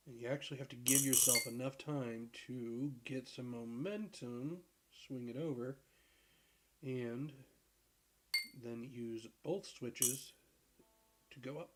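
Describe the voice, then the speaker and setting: Sick deep-voiced man casually narrating gameplay. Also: takes it slowly, heavy background noise. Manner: relaxed, rambling, clears throat and laughs at himself. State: sick, hoarse from a cold. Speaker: gaming video creator.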